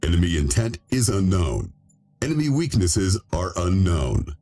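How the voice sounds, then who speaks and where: An actor playing a grave military officer giving a clipped mission briefing in a video game. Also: male voice, low voice, big pitch swings, a little slow, dark tone, soft background music, heavily acted.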